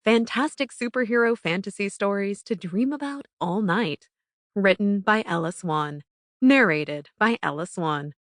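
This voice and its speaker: A woman narrating a children's bedtime story from a script in an expressive, careful storytelling manner. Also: mid-range voice, measured pace, dry recording, clean studio sound. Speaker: audiobook narrator.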